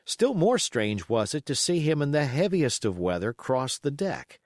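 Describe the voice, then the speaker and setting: A man reading a classic adventure novel aloud as an audiobook narrator, in an expressive storytelling manner. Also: mid-range voice, moderate pace, no room sound, clean studio sound. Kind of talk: scripted literary narration.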